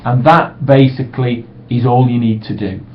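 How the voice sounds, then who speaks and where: Relaxed man on a webcam. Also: medium pitch, moderate pace. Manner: relaxed, reassuring, acts out sample voicemails brightly. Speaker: sales trainer. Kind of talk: casual webcam training talk. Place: small room indoors. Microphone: webcam microphone. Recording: dull lo-fi webcam audio.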